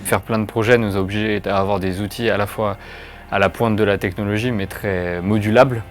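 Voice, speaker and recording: A man's voice: lowish voice, steady tone, a little fast. A man speaking as a game developer in an explanatory interview, with thoughtful, hesitant phrasing.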